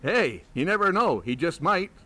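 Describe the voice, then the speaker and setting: An actor playing a cocky, flirtatious monster hunter who is sarcastic and pushy, in a comedy horror audio drama. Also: male voice, fairly high pitch, sing-song, moderate pace, sharp tone, heavily acted. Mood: smug. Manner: sarcastic, pushy, smooth-talking.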